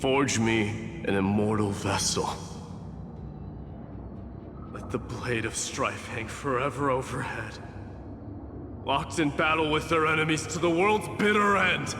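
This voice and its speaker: An actor playing a proud, self-sacrificing warrior demigod in a video game, speaking in a solemn, measured, declamatory way. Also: male voice, mid-range voice, background noise, natural voice. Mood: solemn, rousing. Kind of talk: dramatic game voice acting. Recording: echoey reverberant hall, music behind.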